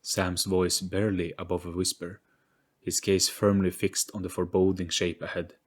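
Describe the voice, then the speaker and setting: A man's voice: low-pitched, level tone, moderate pace. A man giving a scripted, dramatic narration of an audio story.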